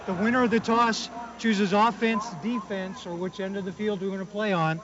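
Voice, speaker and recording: Male referee, high voice, brisk and procedural, picked up muffled on a noisy field mic. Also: fairly even intonation. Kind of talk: on-field official announcements and instructions.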